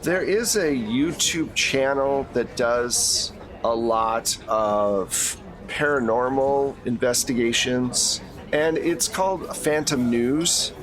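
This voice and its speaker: A man playing a businesslike female agency handler in a tabletop game, briefing agents in a measured, matter-of-fact way. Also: mid-range voice, natural voice.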